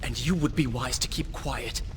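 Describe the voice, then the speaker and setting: A proud young warrior prince played by a man from a video game. He speaks in a medium pitch with curt, formal self-assurance, under heavy ambient noise. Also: moderate pace, lightly acted. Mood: defiant. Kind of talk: dramatic video game acting. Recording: loud game ambience behind voice.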